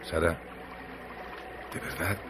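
A man's voice: extremely low pitch, slow, noisy background. An actor playing Eleazar, a brooding, restless man who asks insistent questions in a radio drama. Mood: pleading.

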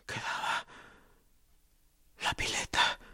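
An actor playing a battered male political prisoner in a radio drama, whispering hoarsely with bitter, mocking defiance. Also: slow pace, pushed and bright. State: exhausted from torture.

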